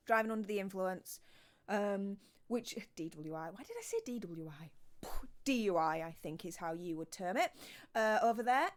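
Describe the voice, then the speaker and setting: A woman's voice: on the high side, smooth. A young woman with a Yorkshire accent hosting a casual commentary vlog, chatting with lots of fillers and restarts and reading out article passages. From Yorkshire, northern England. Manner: chatty, with many fillers and restarts, reads article excerpts aloud. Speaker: YouTube host.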